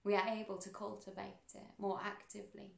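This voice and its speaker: A woman guiding a meditation in a soothing, unhurried manner, with measured phrases and pauses between them. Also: mid-range voice, flat delivery, creaky, echoey room. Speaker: meditation guide. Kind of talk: guided meditation narration.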